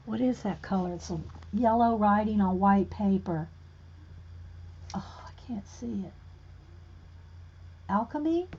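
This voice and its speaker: A woman talks slowly and easily through a painting livestream, answering viewers' comments as she works at her table. She drifts into soft, half-muttered asides about her brush and colors. Her voice sits at an ordinary pitch, and the audio sounds dull and lo-fi. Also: lively intonation, slightly rough. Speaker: art livestream host. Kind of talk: casual livestream talk while painting. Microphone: overhead camera on hands and page.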